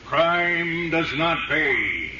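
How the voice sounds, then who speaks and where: Menacing mysterious avenger, extremely slow ominous speech, 1930s radio drama, heavy noise. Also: male voice, on the high side, lively intonation. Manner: slow, ominous, drawn-out delivery. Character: sinister mysterious crime-fighting man, gloating and menacing. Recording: dull lo-fi, very noisy old radio recording.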